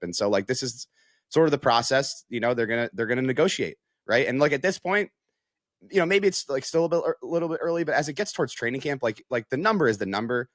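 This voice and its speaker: A man's voice: medium pitch, fairly bright tone, dry recording, clean studio sound. An American man giving casual, conversational sports analysis as a reporter, with frequent filler phrases like "you know".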